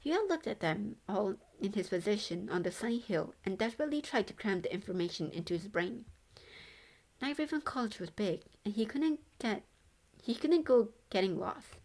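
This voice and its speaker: A woman narrating a novel as a read-along, haltingly, stumbling over words and correcting herself. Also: medium pitch, animated, a little mellow, low-quality recording. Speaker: streamer reading a novel aloud.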